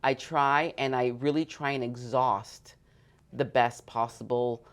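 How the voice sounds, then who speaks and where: Low-voiced confident woman in her fifties. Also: fairly even intonation, a little slow. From northern Greece. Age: 50s. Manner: emphatic and confident, repeats "you know what" and "at the end of the day". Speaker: mortgage broker guest. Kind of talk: candid interview conversation.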